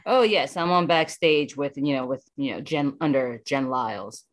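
A woman's voice: a bass voice, normal speed. An American woman chatting casually as an interview guest, enthusiastic and rambling, often repeating her phrases. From Washington DC area, United States.

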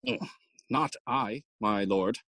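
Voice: mid-range voice, animated, slow pace, gravelly, a bit bright, no echo, heavily acted. An actor playing a fussy, long-winded old male counsellor in an audio play, theatrical and forever interrupting their own speech. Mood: puzzled, scheming. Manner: rambling, theatrical, self-interrupting. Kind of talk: Shakespearean stage acting in audio play.

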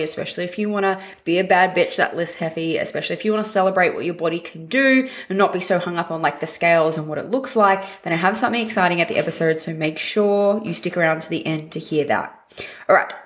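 Woman, hoarse, raspy and husky, fitness podcast host, upbeat, blunt, swears casually. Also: fairly low pitch. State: hoarse from a chest infection. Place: at home.

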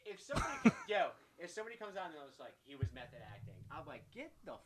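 A man's voice: a little high, big pitch swings, rapid-fire, crowd noise. A man giving opinions in casual podcast banter, very slangy, peppering his talk with filler words. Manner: slangy, says "like" and "dude" constantly. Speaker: podcast co-host.

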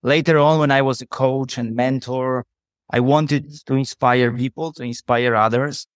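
A man's voice: mid-range voice, fairly even intonation, moderate pace, no echo, clean studio sound. A man with a Polish accent, a native Polish speaker, answering interview questions as a company head in an earnest, motivational way. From Poland. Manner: earnest, motivational, slightly non-native phrasing. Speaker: CEO guest.

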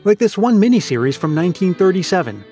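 Lively man narrating, higher-pitched. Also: fast, faint music behind. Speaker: podcast host. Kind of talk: scripted narration.

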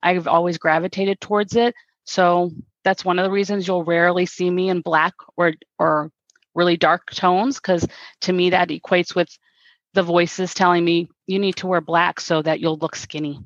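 Reflective woman guest, sincere and steady, dull remote sound. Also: on the low side, normal speed, no echo. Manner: earnest, reflective, repeats words when restarting. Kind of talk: conversational interview.

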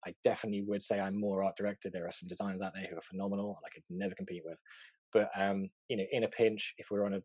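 A young man from England with a neutral English accent, a fast-talking, chatty interview guest on a video call, full of fillers and quick laughs. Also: low-pitched, level tone, a little mellow, no echo. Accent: English, neutral southern. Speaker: interview guest, art director.

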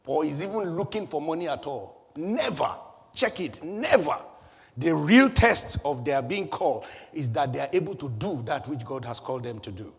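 A man preaching a sermon, animated and chuckling at his own jokes, recorded in a church hall. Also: on the high side, husky. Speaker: pastor. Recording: dull echoey hall recording.

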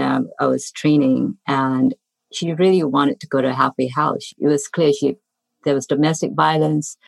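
Casting: woman from Singapore, very low voice, dry close recording, reflective panelist. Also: creaky, no echo, low-quality recording. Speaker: panelist, nutrition expert. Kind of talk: panel discussion.

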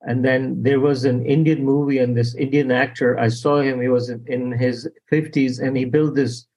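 A man's voice: medium pitch, flat delivery, normal speed, a little mellow, rough recording. A man hosting a podcast interview, talking loosely and restarting his sentences. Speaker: podcast host. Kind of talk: casual interview.

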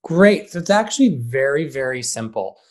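A man's voice: mid-range voice, sing-song, normal speed, a little mellow. Emphatic man chatting in an interview. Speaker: high school theater director, interview guest. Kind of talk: conversational interview answers.

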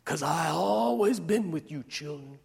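A man's voice: on the high side, expressive, measured pace, vocal fry, darkish tone, breathy edge, full character voice. A man voicing a frail, dying old woman, devout and fiercely loving, in a fervent chanting manner that drops to whispers, as in live storytelling. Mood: fervent, tender. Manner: fervent, incantatory, dropping to whispers. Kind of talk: dramatic sermon-like storytelling. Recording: long hall reverb.

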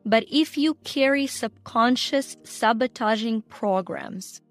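Higher-voiced middle-aged woman with Ukrainian accent. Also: slow, faint music behind. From Ukraine, former Soviet Union. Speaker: guest, entrepreneur and coach. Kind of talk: personal storytelling in an interview.

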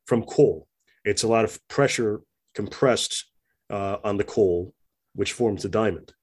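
Low-voiced man, reflective and hesitant. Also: a little slow, breathy edge. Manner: reflective, hesitant, many fillers and restarts. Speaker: interview guest. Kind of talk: conversational interview.

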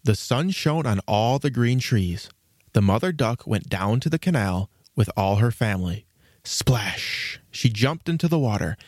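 A man reading scripted storybook narration as the narrator, in an expressive storytelling manner. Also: fairly low pitch, unhurried, dry recording, studio quality.

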